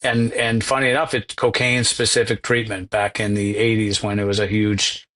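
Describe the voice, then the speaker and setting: Flat-voiced middle-aged man. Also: on the low side, moderate pace. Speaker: healthcare executive guest. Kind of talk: conversational interview.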